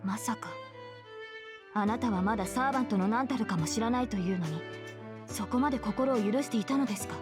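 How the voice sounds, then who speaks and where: A Japanese woman voicing a calm, dignified young female knight in a visual novel, formal and polite, with music behind. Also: mid-range voice, rapid-fire, lightly acted. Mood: stern. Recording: prominent game music behind.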